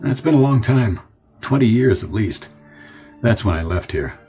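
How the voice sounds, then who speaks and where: An American actor playing a middle-aged, weary and wistful executive in a radio drama, talking in a hesitant, musing way. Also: male voice, on the low side, animated, moderate pace, dark tone, soft background music, natural voice. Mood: nostalgic wonder. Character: weary, wistful ad executive fleeing city pressures.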